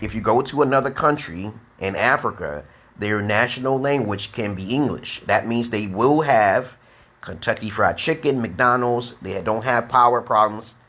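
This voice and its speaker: Bright-voiced New York man teaching slowly. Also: mid-range voice. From United States. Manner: drawn-out, emphatic, repeats letters and "okay?". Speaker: English teacher. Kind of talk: slow deliberate live-stream lesson.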